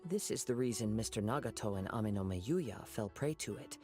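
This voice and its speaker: An actor playing a calm, principled young wandering samurai in a video game, speaking in measured, formal phrases. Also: male voice, fairly low pitch, faint music behind, natural voice. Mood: calm, earnest.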